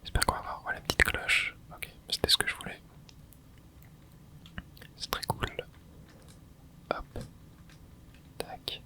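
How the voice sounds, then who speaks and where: A man in his twenties, whispering softly as he comments on his gameplay for viewers. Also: slow pace, long pauses. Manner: soft whispering, with excited asides to viewers. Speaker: gaming ASMR creator.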